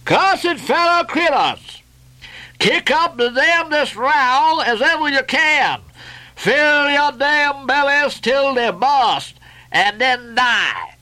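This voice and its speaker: A man's voice: extremely high pitch, expressive, slow pace, sharp tone, voice pushed up, exaggerated voice, poor audio. A man voicing a sullen ninety-year-old ship's cook in a novel, muttering and growling in grudging dialect. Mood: shrill, cursing.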